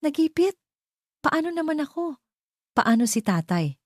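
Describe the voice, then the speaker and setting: A woman's voice: high-pitched, very animated, normal speed, no room sound, clean studio sound, pitched above the performer's own voice. A woman voicing a betrayed, angry young niece in a story, accusing and emphatic. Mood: bitter, accusing.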